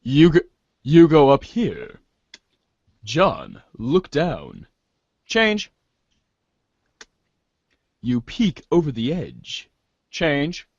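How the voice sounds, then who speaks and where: A man's voice: on the high side, huge pitch swings, extremely slow, pauses often, darkish tone, no echo. A man narrating a webcomic in an amateur reader's theater with mock-grave commands, on a muffled recording.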